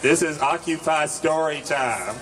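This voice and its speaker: A middle-aged man reading a children's story in rhyme aloud at an outdoor gathering, with deliberate, measured delivery. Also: fairly high pitch, a bit bright, some chatter behind. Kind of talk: live public storytime reading, rhyming verse. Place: outdoor public gathering in a park. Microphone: microphone on a stand, recorded by nearby camera. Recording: noisy outdoor recording from a distance, wind and traffic.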